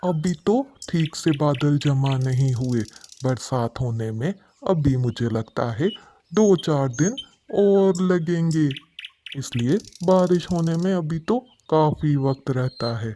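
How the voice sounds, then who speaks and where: Slow, mellow, mocking crow husband acted by a man. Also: a little high, expressive, a little hiss or hum. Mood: mocking, complacent. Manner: mocking, unhurried. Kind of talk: scripted moral cartoon dialogue.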